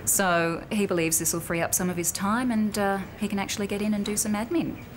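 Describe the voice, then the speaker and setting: A woman playing a composed, firm but sympathetic bank officer in a naturalistic training drama, speaking in a measured, explanatory way. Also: mid-range voice, steady tone, natural voice. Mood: measured, reflective. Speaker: actor in a corporate training drama. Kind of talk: naturalistic acted workplace dialogue. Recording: clearly noisy location sound with room ambience.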